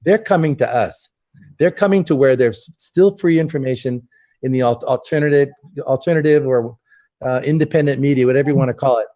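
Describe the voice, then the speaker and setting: A man hosting a casual interview, talking earnestly with halting starts and repeated words. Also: mid-range voice, mellow. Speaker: host.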